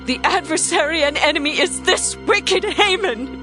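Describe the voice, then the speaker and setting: A woman's voice: high-pitched, lively intonation, moderate pace, music in the background. An actress playing a young queen making an earnest, pleading request in a dramatized scripture reading.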